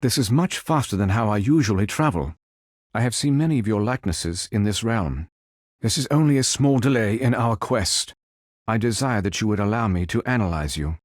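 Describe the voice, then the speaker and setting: A man playing a calm, noble android superhero in a video game, speaking in formal, precise, stilted robotic phrases. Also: on the low side, moderate pace, no room sound, studio quality. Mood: measured, analytical. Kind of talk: video game character voice lines.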